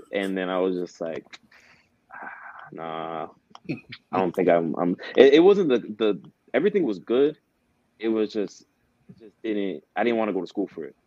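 A man's voice: low voice, lively intonation, normal speed. A young man telling his story in a laid-back, slangy way as a podcast guest. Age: young adult. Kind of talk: casual conversation. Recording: dull, dry lo-fi sound.

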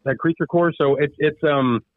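A man's voice: a little high, normal speed, dry recording. A man interviewed as a guest over the phone, answering in a rambling way full of ums and restarts. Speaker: guest, haunted attraction owner. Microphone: phone call. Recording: tinny phone line.

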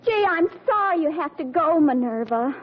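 A woman's voice: high voice, expressive, normal speed. An actress playing an impulsive, boy-crazy teenage daughter with theatrical, gushing delivery in an old-time radio comedy. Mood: eager, smitten. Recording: noisy, muffled old radio recording.

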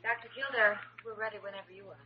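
A woman's voice: mid-range voice, fairly even intonation, quick pace, a crowd in the background, natural voice. A woman acting a dutiful surgical nurse in an old-time radio drama. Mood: attentive. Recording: dull, noisy vintage radio recording.

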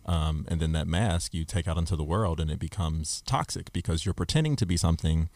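A man with a very low, deep voice talks through his ideas in long, thoughtful stretches, the way a podcast host does. The sound is close and dry, with no room around it. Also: brisk, darkish tone, no room sound. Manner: reflective, speaks in long explanatory runs. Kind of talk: casual discussion.

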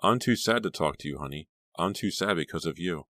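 A man's voice: low voice, expressive, fairly quick, a bit bright, no echo. A weary, plaintive young girl, as a male narrator plays her, drowsy and timid. The recording is dry and close, with the sad, confused temper of a storybook heroine.

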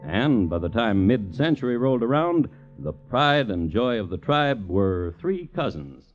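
A man hosting and narrating a vintage radio crime story in a measured, dryly wry storytelling manner. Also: medium pitch, very animated. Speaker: host and narrator. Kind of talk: 1950s radio scripted narration. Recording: muffled vintage radio with music. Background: musical score.

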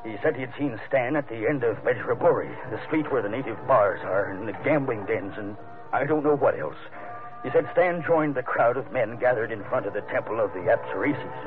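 A man's voice: medium pitch, animated, fairly quick, phone-quality sound, natural voice. An actor playing an anxious, polite middle-aged American father in an earnest, formal 1940s radio drama style. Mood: anxious explaining. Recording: thin vintage radio broadcast with music.

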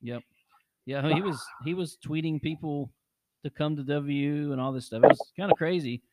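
A man's voice: fairly high pitch, normal speed, very noisy. A man hosting a casual sports podcast, loose and chatty, repeating words for emphasis. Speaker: podcast host.

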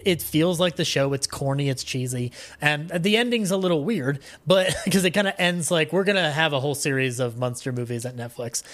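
A man's voice: a little high, fairly bright tone. Rambling, enthusiastic man chatting as a guest. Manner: rambling, enthusiastic fan talk. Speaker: podcast guest. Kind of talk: casual interview chat.

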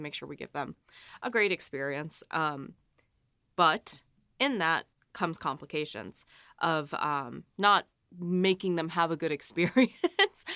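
A woman's voice: on the low side, sharp tone, dry recording. Chatty woman in a dry, close recording. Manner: chatty, emphatic, peppers talk with "like". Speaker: podcast host and production coordinator. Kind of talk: casual behind-the-scenes chat.

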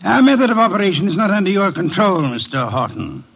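An actor playing a pompous, erudite detective in a classic radio drama, with aloof, precise diction. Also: male voice, sits high, big pitch swings, fast, vocal fry, fairly bright tone, slightly rough, muffled recording, full character voice. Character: pompous, woman-scorning armchair private detective.

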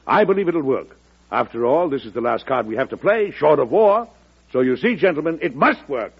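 An actor playing an earnest, resolute, idealistic American president in old-time radio drama, measured and oratorical. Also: male voice, a little high, expressive, vocal fry. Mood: firm. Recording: dull vintage radio recording.

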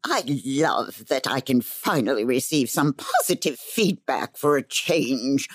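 A woman's voice: a bass voice, lively intonation, unhurried, no echo, clean studio sound, exaggerated voice. A woman playing a smug, haughty, mocking storyteller in an audio drama, with a theatrical drawl. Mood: smug amusement.

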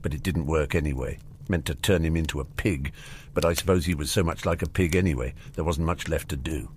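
A man's voice: animated, normal speed, slight background noise. A man voicing a huge, hot-tempered but kindly giant, in an audiobook story. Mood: sheepish. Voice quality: gruff, booming. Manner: rustic, blunt, informal.

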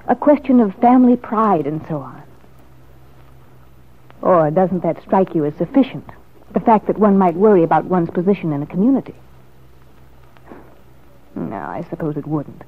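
An actress playing a determined, sharp-tongued woman in a classic radio soap opera, with a haughty, sarcastic manner. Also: medium pitch, close to an everyday voice. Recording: muffled lo-fi vintage radio recording.

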